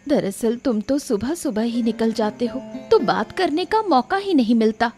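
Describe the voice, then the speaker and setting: Meek cartoon wife female actor, gentle, timid, pleading, somewhat high voice, music underneath. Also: expressive, normal speed, close to an everyday voice. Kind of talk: cartoon moral-story voice acting. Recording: music behind the voice.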